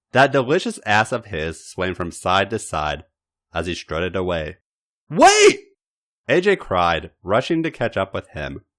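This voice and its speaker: Male podcast host and story reader. Low voice, extremely lively intonation, theatrical delivery of scripted erotica. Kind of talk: dramatic scripted narration of erotic fiction.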